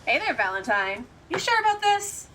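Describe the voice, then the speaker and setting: An actress playing a tough shapeshifter sidekick with a blunt, teasing manner in an audio drama. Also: female voice, high-pitched, big pitch swings, moderate pace, sharp tone, a little hiss or hum, exaggerated voice, poor audio.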